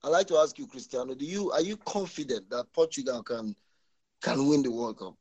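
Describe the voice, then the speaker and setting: Halting man debating football. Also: medium pitch, a little mellow. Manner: halting, restarts words, frequent agreeing "exactly". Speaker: podcast panelist. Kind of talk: casual sports debate.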